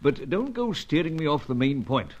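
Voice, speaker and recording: An actor playing a fussy, avuncular older British gentleman who runs a spy service, in a vintage radio serial. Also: fairly high pitch, big pitch swings, normal speed, lo-fi sound. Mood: exasperated, blustering. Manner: blustering, avuncular old-boy phrasing.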